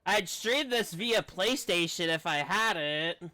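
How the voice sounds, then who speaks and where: Bright, clear male game streamer. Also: high voice, animated, measured pace. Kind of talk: casual live-stream commentary. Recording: faint game music behind. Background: game audio with music.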